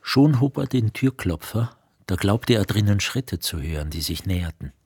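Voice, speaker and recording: A man narrating a literary radio play from a script in a measured, serious manner. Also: fairly low pitch, lively intonation, a little mellow, slightly rough, dry recording, clean studio sound.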